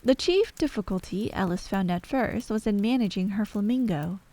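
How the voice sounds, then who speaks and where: Female bedtime storyteller, ASMR-style narration, mid-pitched, very dry sound. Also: normal speed, warm tone, no echo.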